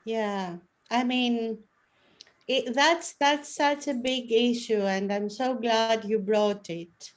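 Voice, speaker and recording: A woman's voice: fairly high pitch, slow. A middle-aged woman with a Greek accent giving candid, self-mocking advice on a video call. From Greece. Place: room at home, indoors.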